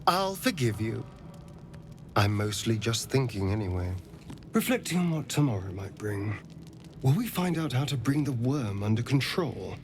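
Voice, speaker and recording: A man's voice: medium pitch, big pitch swings, normal speed, a little hiss or hum, close to an everyday voice. A man as theatrical, flirtatious rogue, swooping intonation. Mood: wry, musing. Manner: arch, theatrical, languid wit. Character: flirtatious, sardonic male rogue, vain and theatrical. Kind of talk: fantasy video game acting.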